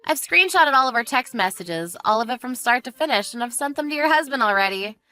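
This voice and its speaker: A woman's voice: on the high side, animated, a little fast, sharp tone, clear, resonant tone, faint music behind. A woman voicing a fed-up, sarcastic wife with a cutting, smug manner in a narrated family drama. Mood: smug, mocking. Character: fed-up, sarcastic young wife taunting her in-law.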